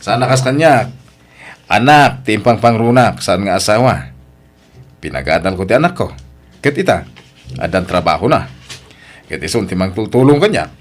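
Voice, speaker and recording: A man's voice: lowish voice, very animated, moderate pace, pauses often. A man with an Ilocano accent voicing a boastful middle-aged father in an audio drama.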